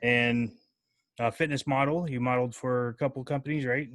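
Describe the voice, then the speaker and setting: A man's voice: mid-range voice, fairly even intonation, a little fast, fairly bright tone, dry recording. A man hosting a casual podcast interview, talking loosely with plenty of filler words. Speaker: podcast host.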